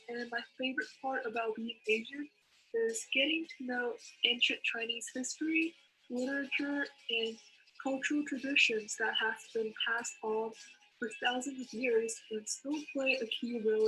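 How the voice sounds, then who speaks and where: A woman's voice: on the high side, fairly even intonation, normal speed, pushed and bright, poor audio. A young woman giving a prepared personal testimonial in a room at home. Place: room with bookshelves and window.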